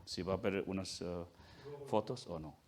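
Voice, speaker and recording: A man from India, a Tibetan Buddhist monk giving a halting lecture full of fillers and frequent throat clearing. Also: deep voice, heavy background noise. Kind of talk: lecture at a film forum.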